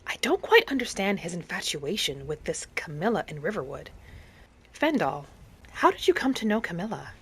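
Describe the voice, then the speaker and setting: A woman voicing a gentle, curious wood elf traveler, musing in first person as she narrates a cozy game roleplay. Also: lowish voice, sing-song, normal speed, slightly rough, full character voice. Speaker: gamer narrating a roleplay as her character. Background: game music behind the voice.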